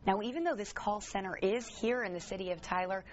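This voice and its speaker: An American woman presents news from a script at a medium pitch. The recording is slightly dull, with faint noise behind. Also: a little fast.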